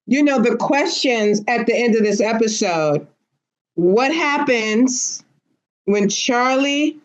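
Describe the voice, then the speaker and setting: Slow-talking woman hosting a podcast. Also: mid-range voice, poor audio. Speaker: host of a discussion panel. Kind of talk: casual podcast discussion.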